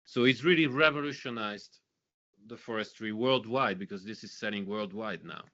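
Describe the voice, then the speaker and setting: Man, earnest speaker, bright forward tone, slightly dull lo-fi recording. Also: lowish voice, moderate pace. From Belgium. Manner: repeats and restarts, earnest. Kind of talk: teaching talk. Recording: dull lo-fi sound.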